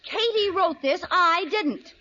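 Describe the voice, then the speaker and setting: An actress playing a wry, easily flustered housewife in an old radio sitcom, with exclamatory comic timing, on an old recording. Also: female voice, very high-pitched, takes it slowly, full character voice. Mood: flustered, exasperated. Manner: animated, exclamatory comic delivery. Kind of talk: 1940s-50s radio sitcom acting. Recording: muffled noisy old radio recording, studio audience.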